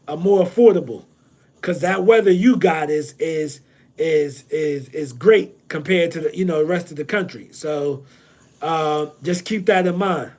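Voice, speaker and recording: Casual slangy man, slightly high voice. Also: a little mellow. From New Jersey. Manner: loose, slangy, repeats himself with many ums. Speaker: real estate investor hosting a livestream. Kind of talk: casual livestream Q&A.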